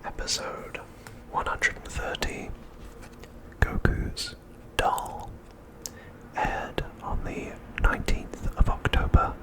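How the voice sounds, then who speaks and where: A man narrating an ASMR recap in a breathy, unvoiced whisper, with deliberate phrasing broken by short pauses. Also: rough recording. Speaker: ASMR host.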